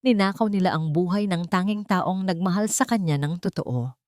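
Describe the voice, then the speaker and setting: Filipino woman narrating drama in a lowish voice. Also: normal speed, darkish tone, no room sound. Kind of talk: dramatic scripted story narration.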